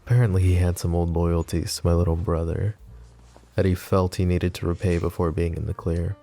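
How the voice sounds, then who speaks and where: A man acting a composed, wry, protective mafia boss in an intimate roleplay audio drama, speaking in a measured, conversational way. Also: sits low, flat delivery, warm tone, faint music behind. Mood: composed, businesslike. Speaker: voice actor.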